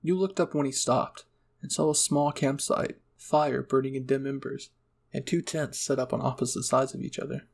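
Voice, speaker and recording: Guy reading out roleplay story for his channel, raspy with lots of fry. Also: medium pitch, steady tone, moderate pace. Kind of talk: second-person roleplay narration and channel sign-off.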